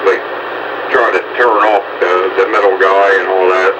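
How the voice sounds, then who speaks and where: A man's voice: low-pitched, flat delivery, moderate pace, dull audio. A man chatting casually and in a folksy, rambling way over two-way radio. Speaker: amateur radio operator. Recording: distant noisy two-way radio signal.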